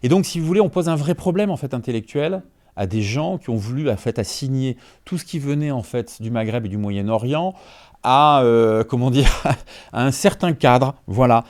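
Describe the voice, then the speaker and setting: A journalist in his fifties answers in an interview with a warm, mellow voice. He argues emphatically and often pauses to ask whether the listener is following. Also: medium pitch. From Franco-Iranian. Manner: emphatic, peppered with "en fait" and rhetorical checks. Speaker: journalist guest.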